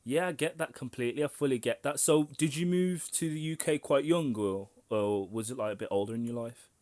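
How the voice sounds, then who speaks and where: A man's voice: mid-range voice, brisk, darkish tone. A man hosting a casual interview, speaking enthusiastically with friendly slang. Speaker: interview host.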